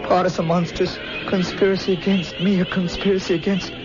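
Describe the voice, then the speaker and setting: A man's voice: high-pitched, level tone, close to an everyday voice. A man playing a stammering, frightened young man. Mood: bewildered. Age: young adult. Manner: halting, stammering, fearful. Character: frightened young man lost in a ghostly afterlife. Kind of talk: 1970s radio drama acting. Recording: dull 1970s radio recording with music.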